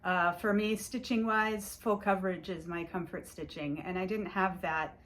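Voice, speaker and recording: A woman's voice: fairly low pitch, steady tone, moderate pace, fairly bright tone, slight background noise. A woman whose first language is Hungarian, casually showing her stitching projects in a rambling chat full of fillers and restarts. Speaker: cross-stitch vlogger. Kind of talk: casual show-and-tell chat.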